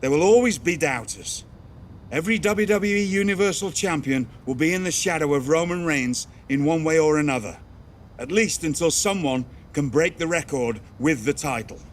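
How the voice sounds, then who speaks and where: An actor playing an encouraging mentor in a wrestling video game, speaking formally and in measured phrases. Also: male voice, on the high side, slight background noise, natural voice. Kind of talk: video game cutscene acting.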